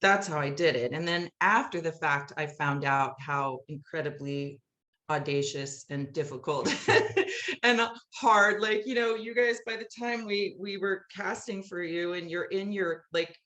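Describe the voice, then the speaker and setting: Roomy-sounding middle-aged woman telling stories, lower-pitched. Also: measured pace, pushed and bright. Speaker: guest, musical playwright. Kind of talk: animated interview storytelling.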